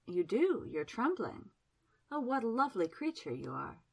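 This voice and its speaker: A woman's voice: a little high, big pitch swings, moderate pace, gravelly, breathy edge, heavily acted. A woman voicing Miss Absalom, a teasing temptress. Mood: coaxing. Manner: soft, coaxing and flirtatious. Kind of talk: audiobook character voice.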